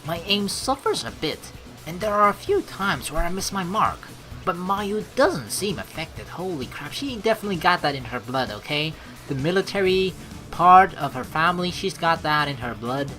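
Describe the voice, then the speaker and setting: Bright, lively woman doing a let's play. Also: lowish voice, normal speed, faint music behind. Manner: expressive, animated asides. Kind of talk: reading game narration aloud with casual commentary.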